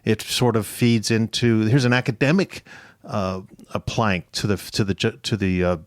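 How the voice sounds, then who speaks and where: Guy hosting an interview, kinda low voice, thinks out loud and rewords himself, no room echo. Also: fairly even intonation, normal speed, studio quality. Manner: thinks aloud, rephrasing as he summarizes. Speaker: interview host. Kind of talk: conversational interviewing.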